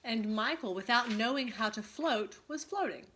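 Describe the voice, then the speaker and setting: A woman teacher reading a story aloud expressively to students, pausing to ask them questions. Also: a little high, animated, fairly bright tone.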